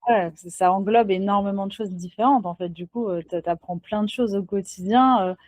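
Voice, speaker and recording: Casual woman host, mellow dry voice. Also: mid-range voice, normal speed, no room sound. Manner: casual, enthusiastic interjections. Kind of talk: live interview.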